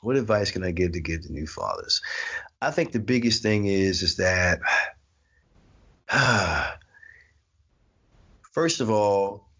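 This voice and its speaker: A man in his forties chatting as an interview guest, relaxed, laughing often and repeating his phrases. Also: low-pitched, takes it slowly, long pauses, husky, dull audio. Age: 40s. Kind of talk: casual interview chat.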